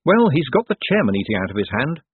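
A man voicing a quick-witted, smooth-talking male trickster in an audiobook, glib and ready to bargain. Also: medium pitch, big pitch swings, dry recording, voice pushed up. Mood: wry. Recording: thin, phone-like band-limited sound.

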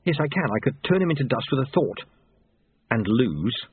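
A man's voice: medium pitch, sing-song, moderate pace, slightly rough, no room sound, narrow, tinny audio. A man voicing an earnest, easily indignant young apprentice monk who speaks in a protesting way, in an audiobook. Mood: indignant, strained.

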